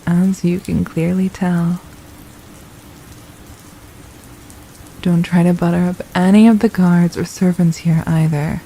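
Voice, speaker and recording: Villainess for ASMR roleplay, played by a woman: low, very mellow, possessive captor, softly menacing and mocking, faint rain behind. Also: slight background noise, low-quality recording. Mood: smug, threatening. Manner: menacing, mocking, silky and deliberate. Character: possessive, condescending female royal villain holding a captive. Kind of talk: ASMR roleplay, intimate scripted monologue.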